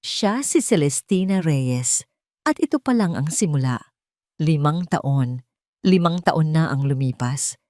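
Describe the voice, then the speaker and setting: A woman narrating a scripted dramatic story in a measured way. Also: low-pitched, lively intonation, darkish tone, no room sound, clean studio sound.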